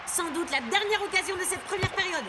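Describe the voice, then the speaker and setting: A woman voicing a brisk, sensible female soccer commentator in a cartoon, with emphatic delivery. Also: extremely high pitch, very noisy. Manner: emphatic, exclamatory.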